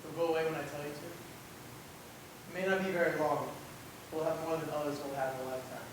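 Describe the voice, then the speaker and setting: A man's voice: level tone, brisk, close to an everyday voice. A young man acting an anguished, bitter genius in an amateur stage play, with earnest, emphatic delivery. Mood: resigned, tender. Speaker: student actor. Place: indoor theatre run-through without audience. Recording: echoey hall, distant mic, rumbling noise.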